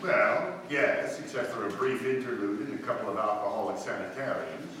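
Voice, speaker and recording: A man's voice: on the low side, normal speed, a bit bright, close to an everyday voice. A man playing a charming, sardonic ex-husband in a live old-time radio play. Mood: wry. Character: breezy, charming, sardonic ex-husband of a society bride. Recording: echoey room, distant mic, faint noise.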